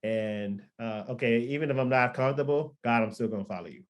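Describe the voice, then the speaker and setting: A man's voice: mid-range voice, brisk. A man in his thirties, a pastor and record producer born in Liberia and raised in Florida, telling his story in a podcast interview with lots of ums and restarts. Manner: rambling, many ums and restarts. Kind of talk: relaxed testimony in a podcast interview.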